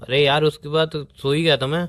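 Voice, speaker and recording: A man's voice: a little high, little pitch movement, a little fast, a bit bright, no echo, natural voice. A young man playing an evasive, exasperated boyfriend, making hesitant excuses and grumbling protests in a staged phone argument.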